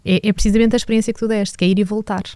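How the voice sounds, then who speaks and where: A woman's voice: medium pitch, level tone, very fast, warm tone, no room sound. A woman from Portugal with a European Portuguese accent, a guest on a business podcast, speaking earnestly and reflectively with frequent hesitations. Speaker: HR director guest.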